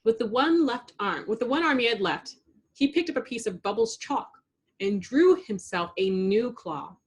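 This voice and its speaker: A woman reading a children's storybook aloud in an expressive narrating style. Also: on the high side, normal speed. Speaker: storybook reader.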